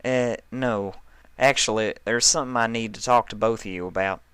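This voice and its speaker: A man's voice: on the low side, normal speed, a bit bright, clean tone, no room sound, pitched above the performer's own voice, lightly acted. A man voicing a plainspoken, uneasy female country farm pony with a hesitant, folksy manner in a story reading.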